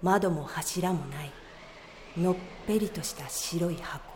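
A woman reading scripted narration for an audio drama in a measured, solemn storytelling manner. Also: fairly low pitch, noisy background.

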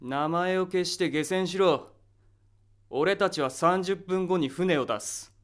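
A man playing a dismissive, authoritative spaceship captain in an audio drama, curt and deliberate. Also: high-pitched. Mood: cold, dismissive.

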